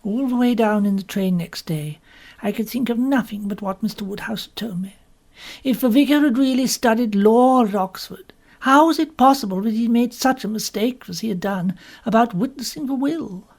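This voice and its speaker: A woman's voice: mid-range voice, gravelly, a little mellow, slightly rough. A woman reads a first-person short story as an audiobook narrator, in a prim, formal manner.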